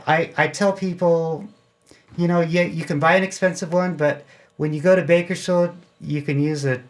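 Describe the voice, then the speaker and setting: A man's voice: a little high, fairly even intonation, normal speed. An American man talking as a club guest in an interview, casually explaining things in a plain-spoken, anecdotal way. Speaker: amateur radio club member, interview guest.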